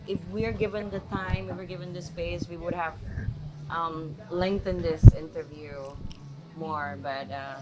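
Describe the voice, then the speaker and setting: A woman's voice: fairly low pitch, steady tone, a little slow, heavy background noise. A woman with a Malaysian accent hosting a casual interview in an effusive, conversational way. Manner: effusive, switches between English and Malay. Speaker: interview host.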